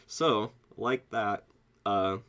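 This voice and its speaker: A young American man giving a casual, excitable album review, slangy and sweary, saying "like" constantly. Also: on the low side, drawn-out words, clear, resonant tone. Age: young adult. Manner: excitable, slangy, heavy use of "like", frequent swearing. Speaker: music reviewer.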